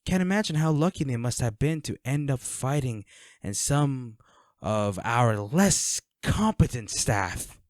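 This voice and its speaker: A man's voice: medium pitch, animated, measured pace, no room sound, studio quality, lightly acted. A man playing a flirty, smug villain henchman in a roleplay audio drama, taunting a captive in a teasing, coolly controlling manner. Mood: possessive, smug.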